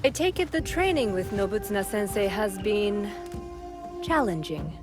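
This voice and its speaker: An actress playing a composed noblewoman of feudal Japan who teaches in a formal, measured way in a video game cutscene. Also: medium pitch, expressive, music in the background, lightly acted. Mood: gently probing.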